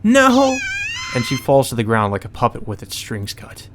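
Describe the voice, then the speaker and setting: A man's voice: fairly low pitch, wildly swooping pitch, a little fast, fairly bright tone, slight background noise. A man reading visual novel narration aloud as a let's play, mixed with casual, sweary commentary.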